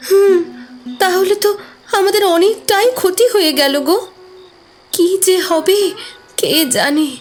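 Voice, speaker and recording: A woman's voice: extremely high pitch, unhurried, pauses often, soft background music. A woman voicing a worried, caring village wife in a cartoon, plaintive. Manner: plaintive, concerned. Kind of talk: cartoon voice acting.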